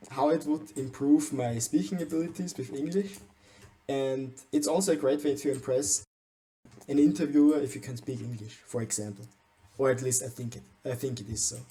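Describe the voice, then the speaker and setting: A young Austrian man with an Austrian German accent gives a game base tour in English, his second language. His voice is dark and mellow, and he stumbles often, repeating and restarting words as he searches for them. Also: mid-range voice, poor audio. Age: young adult. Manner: hesitant, frequent restarts and stumbles. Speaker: gaming channel host.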